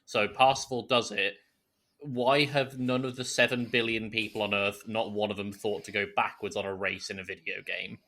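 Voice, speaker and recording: Low-voiced man, teasing podcast co-host. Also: fairly even intonation, normal speed, pushed and bright. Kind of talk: casual chat, jokey questioning.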